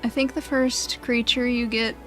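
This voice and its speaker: A woman's voice: a little high, little pitch movement, normal speed. Chatty woman commentating over game music. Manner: chatty, informal. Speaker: gaming video host. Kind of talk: casual gameplay commentary.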